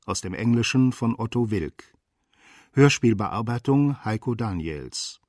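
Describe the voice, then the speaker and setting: A man's voice: on the low side, expressive, measured pace, a little mellow, dry recording. A man reading title and credits as the announcer of a German radio play.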